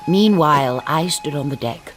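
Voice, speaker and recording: A performer narrating as a wry, dry-witted storyteller, recounting with arch humour. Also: male voice, fairly high pitch, moderate pace, lightly acted. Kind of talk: scripted narration. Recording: narration over a music bed.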